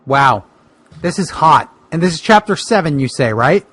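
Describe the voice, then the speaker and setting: High-ish, animated male co-host. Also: normal speed, husky. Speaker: podcast co-host. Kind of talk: casual joking chat.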